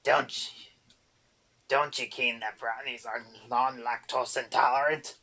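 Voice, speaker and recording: A man's voice: medium pitch, steady tone, unhurried, pushed and bright. A man voicing a screeching spiteful boggart. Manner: screeching, ranting insults in dialect. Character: spiteful little male boggart creature.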